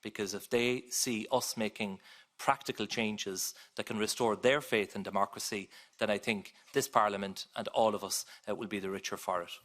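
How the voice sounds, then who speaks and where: A man answering questions at a parliamentary hearing in a measured, formal way, pausing often on fillers. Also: fairly low pitch, steady tone, a bit bright. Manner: measured, with many filler pauses.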